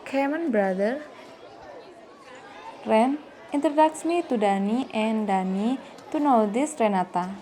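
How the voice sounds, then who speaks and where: A woman's voice: fairly high pitch, lively intonation, unhurried, pauses often, dark tone. A young woman with an Indonesian accent playing a polite, humble schoolgirl in an amateur radio drama. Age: young adult. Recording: noisy background with crowd ambience.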